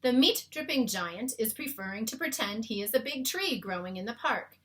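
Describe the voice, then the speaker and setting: An earnest, friendly giant from a children's storybook, acted out by a woman reader. The delivery is loud and emphatic, swinging up and down in pitch with a bright edge. The talk is folksy and muddled. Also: expressive, normal speed. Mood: eager explaining. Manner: emphatic, mangled homespun grammar. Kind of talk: children's storybook read-aloud.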